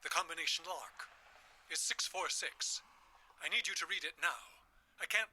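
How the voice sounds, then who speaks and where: An actor playing a frantic, loving old grandfather in an audio drama, speaking urgently and haltingly. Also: a little high, bright, forceful tone, a little hiss or hum. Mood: anxious, urgent.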